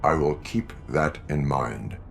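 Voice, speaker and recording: A man acting a stoic cyborg police officer in a video game, speaking in terse, deadpan phrases. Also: very deep voice, slow, vocal fry, a little hiss or hum, heavily acted. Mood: stoic, measured.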